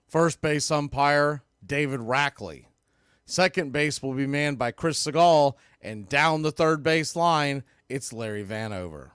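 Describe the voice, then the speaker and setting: Hyped baseball radio host. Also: male voice, on the high side, fairly bright tone, smooth, no room sound, clean studio sound. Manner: hyped-up, calls out chat members by name. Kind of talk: live-stream baseball watch-party commentary.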